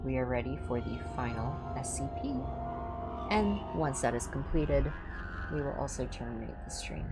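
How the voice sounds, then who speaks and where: A woman livestream host giving an ASMR reading of scripted horror entries in a measured, clinical manner. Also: extremely low pitch, expressive. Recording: music behind the voice. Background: background music.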